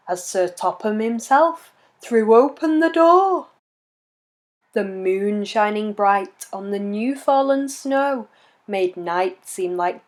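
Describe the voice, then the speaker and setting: A woman reading a rhyming children's picture book aloud in an expressive, measured storytelling manner. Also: medium pitch. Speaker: children's story reader and channel host.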